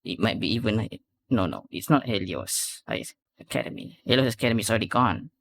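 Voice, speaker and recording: Gravelly man giving casual reaction commentary. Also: lowish voice, animated, a little fast, fairly bright tone. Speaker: reaction video host. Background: faint music.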